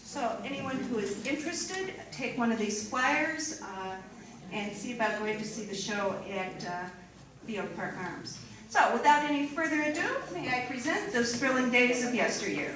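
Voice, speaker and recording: A woman's voice: on the high side, moderate pace. Woman presenting in a very echoey room. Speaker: event presenter introducing performers. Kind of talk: informal introduction to a live audience. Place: large echoey room, live event. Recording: echoey room, distant mic, hiss.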